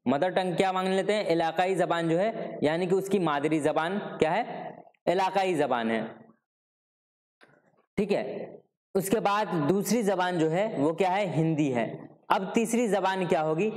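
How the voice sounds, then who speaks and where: A man's voice: high-pitched, darkish tone, big-room sound. A male teacher from Bihar, giving an exam-prep lecture and answering his own questions. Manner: repetitive, asks questions and answers them himself.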